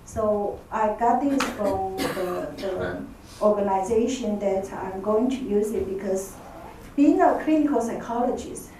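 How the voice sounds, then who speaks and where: A woman's voice: medium pitch, unhurried, dark tone. A woman with a Taiwanese accent gives an academic conference presentation as a professor, hesitating and repeating phrases as she searches for words. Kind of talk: conference presentation, semi-spontaneous. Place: echoey conference room. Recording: echoey room, distant mic, faint noise.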